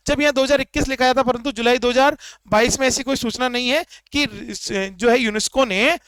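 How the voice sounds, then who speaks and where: Man, very high voice, smooth, dry close sound, exam teacher, repetitive explaining. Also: brisk, a bit bright, no echo. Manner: repetitive, explanatory, rhetorical questions.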